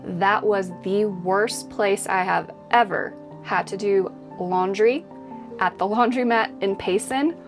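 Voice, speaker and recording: A woman's voice: medium pitch, slow pace, over music. A woman co-hosting a travel vlog, chatting casually and agreeably about life on the road. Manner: chatty, agreeable, many yeahs. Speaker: vlog co-host. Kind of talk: casual chat to camera.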